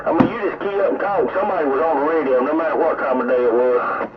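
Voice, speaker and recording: A man's voice: fairly high pitch, expressive, quick pace, a crowd in the background. A middle-aged or older man with a Southern US accent, chatting casually over CB radio in a folksy, rambling way. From American South. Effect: CB radio transmission. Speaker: CB radio hobbyist. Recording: tinny, narrowband CB radio with heavy static.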